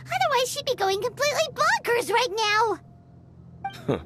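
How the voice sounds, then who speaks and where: A woman voicing a tiny, childlike, excitable fairy companion in a fantasy game, squeaky and cutely chatty. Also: moderate pace, noisy background. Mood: shocked, fretting. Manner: cute, chatty, exclamatory. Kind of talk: fantasy video game voice acting. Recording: loud music behind the voice.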